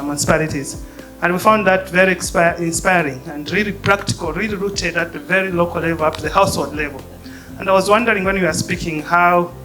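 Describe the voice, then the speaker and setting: A man's voice: on the high side, poor audio. A man from Zambia, an audience member, asking a panelist a long, polite question at a workshop. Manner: measured, polite, thoughtful questioning.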